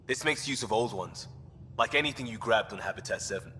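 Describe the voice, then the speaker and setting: A man's voice: a little fast, pushed and bright. An actor playing an upbeat, easygoing, tech-loving crewman in a video game, with a casual, breezy delivery. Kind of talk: video game cutscene acting. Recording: long reverb, faint rumbling noise.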